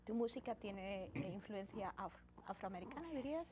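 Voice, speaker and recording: A woman hosting a TV interview show, upbeat and quick to laugh, in a small studio. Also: medium pitch, expressive, crowd noise. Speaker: TV show host. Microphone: lapel mic.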